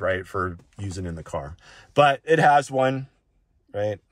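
A man's voice: medium pitch, dry recording, clean studio sound. A man talking casually and off the cuff through a device tutorial, conversational and often checking in with the listener. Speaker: tech reviewer giving a device walk-through. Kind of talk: casual, unscripted tutorial talk.

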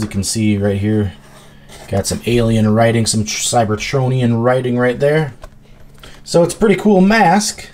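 A man's voice: medium pitch, a little mellow, slight background noise. A man hosting a toy review, demonstrating the product in an easygoing, chatty way. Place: indoor tabletop toy video set.

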